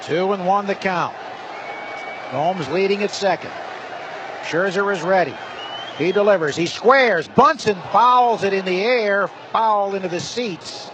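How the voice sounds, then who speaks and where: A man with a fairly high voice calls a baseball game live for radio. He speaks in short, descriptive play-by-play phrases, with clear ballpark noise behind him. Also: a little slow, crowd noise. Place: ballpark broadcast booth. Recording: noisy live stadium broadcast. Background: clear stadium ambience.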